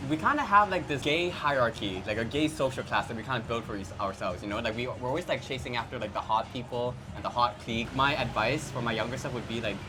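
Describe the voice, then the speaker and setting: A man rattles off his answers quickly, loose and chatty, leaning on filler words. Clear street noise and passing vehicles sit under his voice outdoors. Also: mid-range voice. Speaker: interviewee. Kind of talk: casual street interview answers. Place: outdoors at a city street parade.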